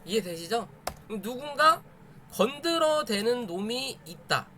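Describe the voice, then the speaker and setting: A man's voice: extremely high pitch, sing-song, a little slow, sharp tone, slight background noise. A man giving an informal live lesson as host, emphatic, repeating phrases and checking understanding with rhetorical questions. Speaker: host of a stock chart study stream. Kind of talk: informal live lesson, explaining stock charts.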